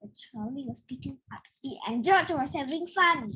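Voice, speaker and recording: A woman reading a children's picture book aloud in an expressive way, as if to young listeners. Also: high voice, moderate pace. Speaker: read-aloud storyteller.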